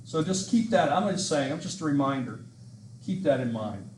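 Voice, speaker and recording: A middle-aged man gives a rambling, joking history lecture in a classroom. His voice sits a little high for a man, and the room rings with echo. A faint hiss sits under the laptop recording. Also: expressive, moderate pace, darkish tone. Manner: joking, rambling and self-mocking. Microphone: laptop mic at a lectern. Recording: echoey classroom with background hiss.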